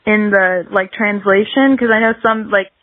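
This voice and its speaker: A young woman in a casual interview, speaking at a middle pitch over a dull, dry, lo-fi line. She rambles through her thoughts in a conversational way, with "like" scattered all through. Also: fairly even intonation, normal speed, no echo. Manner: rambling, dense with filler "like". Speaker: podcast guest, singer-songwriter.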